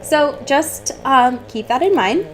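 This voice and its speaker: A woman's voice: high-pitched, animated, takes it slowly, slight background noise, rough recording. A woman co-hosting a casual book discussion podcast, talking in an irreverent, sarcastic, sweary way. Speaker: podcast co-host.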